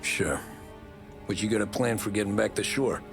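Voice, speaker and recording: A man's voice: fairly low pitch, normal speed, vocal fry, over music. A man playing a cautious, businesslike mafia soldier with a dry, cool manner in a game cutscene. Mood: calm, resolute. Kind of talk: dramatic video game cutscene acting.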